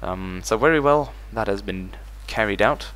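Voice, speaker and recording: A man's voice: on the low side, animated, moderate pace, fairly bright tone, rough recording. A man hosting a let's play, talking through his strategy game moves as he thinks them over. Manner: thinks aloud while managing a strategy game. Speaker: gaming channel host.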